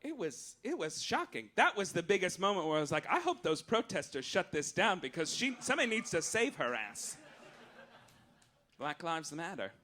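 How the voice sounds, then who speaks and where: A man's voice: high-pitched, sing-song, moderate pace, sharp tone. A man performing satirical stand-up on stage as a show host, with a campy, arch, theatrical delivery, reading mock-official announcements. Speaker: comedian hosting a live show. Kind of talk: satirical stand-up monologue read as mock-official rulings to a live audience. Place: on stage at a live cabaret venue.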